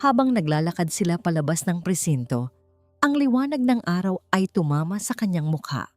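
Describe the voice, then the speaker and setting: A woman narrates a scripted emotional drama in a slightly low voice. The sound is dry and close, with faint music behind her. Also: big pitch swings, brisk, dry recording. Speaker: story narrator.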